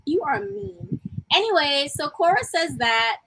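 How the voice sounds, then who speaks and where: High, bright woman with a tiny voice. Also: lively intonation, measured pace, creaky, slight background noise, rough recording. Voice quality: small, tiny voice. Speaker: co-host of a wrestling review show. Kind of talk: casual chat, commentary.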